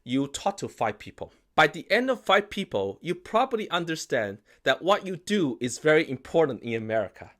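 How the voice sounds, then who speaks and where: Animated man, Chinese native speaker. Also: fairly high pitch, normal speed, hardly any pauses. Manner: animated, emphatic, acts out quoted reactions. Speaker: investment company founder. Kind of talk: casual explainer talk.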